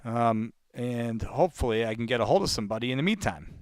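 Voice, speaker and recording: A man with a clean, smooth voice at an ordinary middle pitch chats casually as a live-stream caller. His delivery is informal and rambling, addressed straight to viewers, and the sound is close and dry. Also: animated, fast, no room sound. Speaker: live sales-call host, real estate cold caller. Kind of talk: casual live-stream chat between phone calls.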